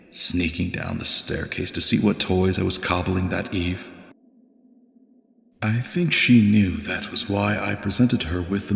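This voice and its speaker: A man acting as a wistful old-world scholar and inventor with a very deep voice full of gravel. He delivers archaic, poetic lines as a measured dramatic monologue. The sound is echoey, with a reverberant tail. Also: full character voice. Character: brooding, wistful male inventor and scholar reading his journal. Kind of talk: theatrical dramatic monologue, fandub narration.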